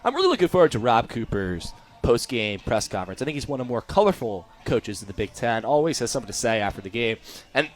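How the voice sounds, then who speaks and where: A man's voice: medium pitch, big pitch swings, quick pace. A young American man giving live color commentary on a baseball radio broadcast.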